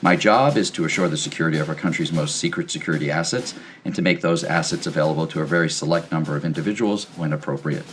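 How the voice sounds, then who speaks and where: An actor playing a stiff, officious CIA spokesman in an audio drama, speaking formally and precisely. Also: very deep voice. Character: stiff, officious male CIA public affairs director. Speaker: government official.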